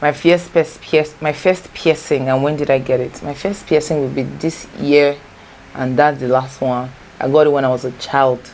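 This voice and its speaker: Very deep-voiced Nigerian woman chatting. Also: fairly even intonation, moderate pace, a little mellow, slight background noise, rough recording. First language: Igbo. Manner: chatty, rambling, self-correcting. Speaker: vlogger. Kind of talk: casual storytime chat. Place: home, natural light.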